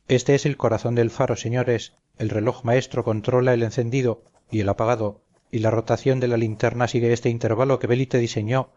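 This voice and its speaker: A man's voice: mid-range voice, flat delivery, brisk, few pauses, warm tone, dull audio, close to an everyday voice. A man playing a dutiful old lighthouse keeper and veteran sailor in an audio story, earnest and deferential, speaking formally. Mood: solemn, proud. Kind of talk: audio story character dialogue.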